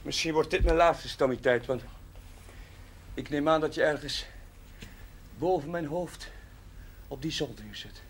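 Earnest, higher-voiced chaplain. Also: male voice. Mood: earnest, pleading. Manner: pleading, measured, sincere. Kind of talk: 1970s Dutch television drama acting. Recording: faint noise on old TV audio.

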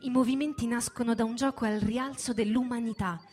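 A woman's voice: fairly high pitch, fairly even intonation, brisk, darkish tone. Woman's lyrical reading over music. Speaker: storyteller, performer. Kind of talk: dramatic, lyrical narrative reading. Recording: music behind the voice. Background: background music.

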